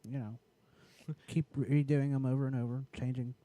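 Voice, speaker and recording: A man with a raspy voice in casual, profane podcast chat, rambling and often restarting his sentences. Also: mid-range voice, flat delivery, normal speed, mellow. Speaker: podcast co-host.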